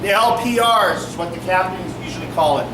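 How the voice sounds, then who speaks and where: High-voiced officer projecting to a room. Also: male voice. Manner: projecting, brisk, asks the audience questions. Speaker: police officer. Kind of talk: public meeting explanation. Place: community meeting hall. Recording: echoey room from far off, noisy.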